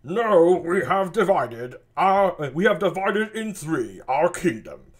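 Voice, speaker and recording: A weary old king who declaims his lines in a stately manner, as a man puts him on. The voice rises and falls broadly, and the delivery is slow and deliberate. It sounds like a home reading of a stage play. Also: a little high, pitched above the performer's own voice, exaggerated voice. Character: aging male king, grand and weary.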